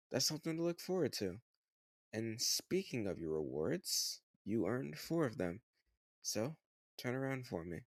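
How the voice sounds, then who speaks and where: Male actor as slightly older home tutor for romantic audio roleplay: lowish creaky voice, close dry sound, teasing, patient, encouraging. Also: no room sound, heavily acted. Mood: teasing, affectionate. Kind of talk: scripted romantic audio roleplay, addressed to the listener.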